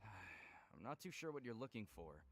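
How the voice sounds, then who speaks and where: An actor playing a casual, mildly disappointed young boyfriend in an anime-style game. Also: male voice, lowish voice, sing-song, normal speed, fairly bright tone, soft background music. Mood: puzzled, let down.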